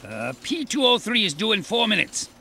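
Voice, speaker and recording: A stern older section chief in an anime game. His voice is very sharp and forceful, with animated swings of pitch, and he briefs in clipped, authoritative phrases. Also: normal speed, gravelly, a little hiss or hum, exaggerated voice. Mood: grave, businesslike. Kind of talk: English anime game dub acting.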